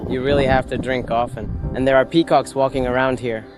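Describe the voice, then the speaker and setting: A man filming himself outdoors on city streets with a handheld phone, giving quick, matter-of-fact updates on his running progress. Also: medium pitch, steady tone. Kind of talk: on-the-go vlog update. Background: music.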